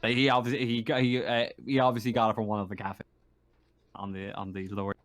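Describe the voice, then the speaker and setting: A man acting as game master, narrating a tabletop game casually and haltingly, repeating words as he improvises. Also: on the low side, level tone, fairly bright tone.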